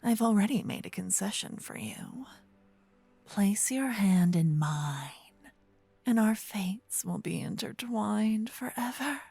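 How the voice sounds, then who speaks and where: Slow, breathy demon queen, acted by a woman. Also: medium pitch, animated, dark tone, no room sound, exaggerated voice. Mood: seductive, coaxing. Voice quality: breathy, husky, often whispering. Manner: slow, teasing, doting menace. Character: seductive, possessive evil demon queen, ancient and smug. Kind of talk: fantasy ASMR roleplay, whispered and intimate. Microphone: close, dry microphone.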